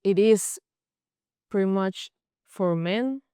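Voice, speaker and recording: A young woman from Colombia with a Colombian Spanish accent, a native Spanish speaker speaking halting English with frequent restarts in a casual interview. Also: mid-range voice, mellow, clean tone, no echo, studio quality. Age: young adult. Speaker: guest, college goalkeeper.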